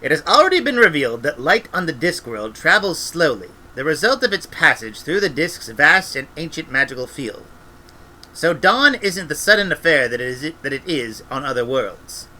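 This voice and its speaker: A man reading a story aloud as an expressive narrator. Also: a little high, a little hiss or hum, rough recording. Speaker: audiobook reader, former radio presenter.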